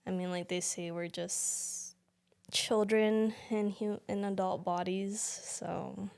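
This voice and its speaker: A woman's voice: mid-range voice, flat delivery, slow, vocal fry, darkish tone, clear, resonant tone. A young woman co-hosting a podcast, talking reflectively and casually with lots of fillers. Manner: reflective, many fillers like "you know" and "like". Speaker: podcast co-host.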